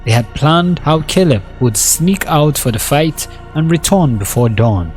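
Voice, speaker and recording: A man's voice: a little high, animated, unhurried, darkish tone, soft background music. A man narrating a scripted dramatic story as its storyteller.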